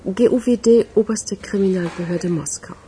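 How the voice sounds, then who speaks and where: An actress as a mellow, cool woman investigator. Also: medium pitch, normal speed, a little hiss or hum, natural voice. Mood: composed. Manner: dry, composed, ironic. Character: cool, dryly witty Russian woman police investigator, about forty. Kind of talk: German radio crime drama acting.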